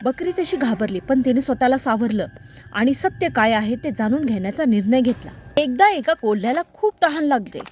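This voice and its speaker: A woman's voice: fairly high pitch, expressive, fairly quick, darkish tone. A woman reading a scripted children's story as its narrator.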